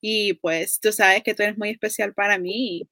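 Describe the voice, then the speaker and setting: A woman's voice: mid-range voice, steady tone, normal speed, sharp tone, no room sound, studio quality. A woman from El Salvador with a Salvadoran accent, talking casually as an interview guest. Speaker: interview guest, community health worker.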